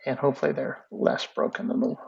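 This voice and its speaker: A man teaching animation in a casual screen-share tutorial, thinking aloud in a relaxed way. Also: fast, slight background noise. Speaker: animation instructor. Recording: muffled lo-fi audio with faint music.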